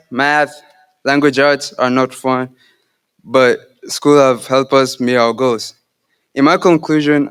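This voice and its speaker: A man's voice: mid-range voice, clean tone. A young man from Kenya, a Swahili speaker, giving an earnest, devout graduation speech. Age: young adult. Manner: earnest, devout, measured delivery.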